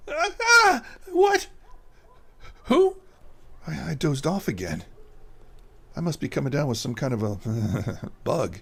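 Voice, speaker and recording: A man playing a haunted, guilty con man in a horror radio drama, muttering dramatically to himself in a gravelly, husky voice. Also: on the high side, huge pitch swings, takes it slowly, long pauses, pushed and bright, slight background noise, exaggerated voice. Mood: terror, then drowsy muttering.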